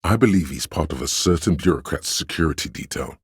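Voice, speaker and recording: A businesslike policewoman in a video game, acted out by a deep-voiced man. She is polite and matter-of-fact, with a low, very husky voice and a dry sound. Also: animated, brisk, dry recording, full character voice. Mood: dryly informative. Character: businesslike female police officer, dryly informative. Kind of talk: dramatic game dialogue.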